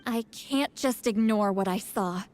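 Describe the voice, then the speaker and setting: A woman's voice: mid-range voice, unhurried, darkish tone, faint music behind, close to an everyday voice. A woman voicing an anxious but determined young shaman in a video game, earnest and emphatic. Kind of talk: scripted video game voice acting.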